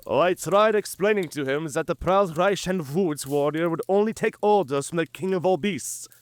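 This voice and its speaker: A man's voice: a little high, normal speed, no room sound, natural voice. A man playing a cunning, proud werewolf in an audio drama, with a theatrical, drawling and mocking delivery. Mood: mocking amusement. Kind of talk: cinematic audio drama acting.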